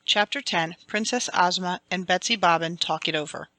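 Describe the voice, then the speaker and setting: A woman's voice: on the low side, moderate pace, bright, forceful tone, no room sound. A woman reading a children's fantasy storybook aloud as scripted, dramatic audiobook narration. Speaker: audiobook reader.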